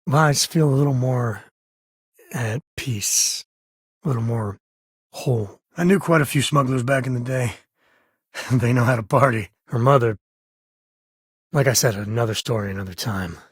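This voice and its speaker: An actor voicing a wry, easygoing space ranger and father in a video game, with a husky, raspy voice and a folksy drawl. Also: mid-range voice, dry recording, studio quality, lightly acted. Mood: warm, sincere. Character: laid-back frontier space ranger, doting father, wry and plainspoken. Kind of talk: video game character dialogue.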